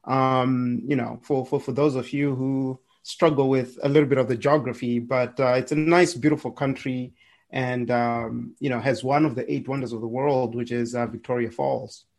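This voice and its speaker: A man's voice: mid-range voice, steady tone, moderate pace, a little mellow. A man, a podcast guest telling his story in an upbeat, chuckling way, often restarting words. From Zimbabwe. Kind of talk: casual storytelling interview.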